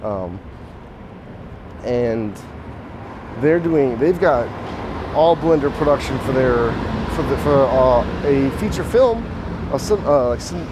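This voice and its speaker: A hoarse man hosting a podcast, talking casually while walking outdoors, rambling with restarts and small chuckles. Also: medium pitch. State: hoarse, voice mostly shot. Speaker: podcast host. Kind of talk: casual walk-and-talk monologue. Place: outdoors on a city street. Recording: faint outdoor street noise. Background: street and traffic noise.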